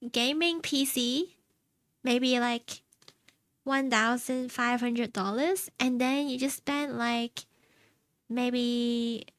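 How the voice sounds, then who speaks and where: A young woman from China chatting casually on stream, answering her chat in a soft, rambling way and laughing often. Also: a little high, dry recording. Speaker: VTuber streamer. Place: home streaming setup.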